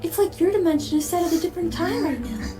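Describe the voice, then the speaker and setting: Eager young pony, voiced by a woman: high, fast, explanatory delivery over music. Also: lively intonation. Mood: eager explaining. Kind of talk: animated audio drama voice acting. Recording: music under the dialogue.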